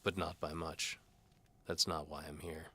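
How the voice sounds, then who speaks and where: A man's voice: very deep voice, little pitch movement, unhurried, pushed and bright, slightly rough, full character voice. Man playing a sardonic hard-boiled knight for hire. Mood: sardonic, defiant. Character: world-weary, wisecracking male knight for hire, defiant prisoner. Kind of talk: audio drama character acting.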